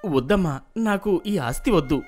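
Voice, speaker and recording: A man's voice: fairly high pitch, expressive, normal speed, a little mellow, faint music behind, natural voice. Man acting a humble, earnest young farmhand. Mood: earnest, gentle. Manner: earnest, respectful. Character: humble, good-hearted young male farmhand, adopted son. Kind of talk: acted folk tale dialogue.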